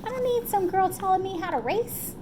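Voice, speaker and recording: A woman's voice: extremely high pitch, animated, fairly quick, background noise, pitched above the performer's own voice. A woman voicing a cocky, stubborn male cartoon race car in a children's story, informal and self-assured. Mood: indignant.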